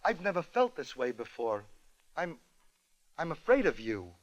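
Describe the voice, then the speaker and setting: A man's voice: on the high side, big pitch swings, measured pace, highs cut off. Man playing a prim, melodramatic young English noblewoman. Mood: fearful swooning. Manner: prim, mock-dramatic. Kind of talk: 1940s radio comedy parody. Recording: noisy, clicky 1940s radio recording.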